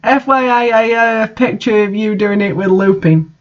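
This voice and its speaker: A man's voice: sits high, normal speed, dull audio, lightly acted. A man voicing a gushing, melodramatic, slangy teenage goth girl in a storybook reading. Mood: shocked, defiant.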